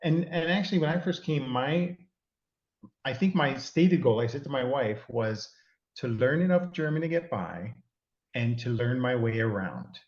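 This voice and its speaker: A man's voice: mid-range voice, animated, moderate pace, roomy sound, muffled recording. A middle-aged man from California, a guest giving rambling, self-deprecating advice in a casual chat. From California, USA. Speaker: interview guest.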